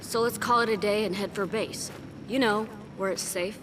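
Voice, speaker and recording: A woman's voice: mid-range voice, moderate pace, noisy background, close to an everyday voice. A woman playing a sceptical, snappy resistance fighter in a video game, sarcastic and challenging. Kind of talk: video game cutscene acting.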